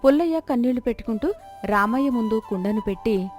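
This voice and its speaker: A woman's voice: on the high side, lively intonation, fast, a little mellow, faint music behind. A woman narrating a scripted moral folk story as a storyteller.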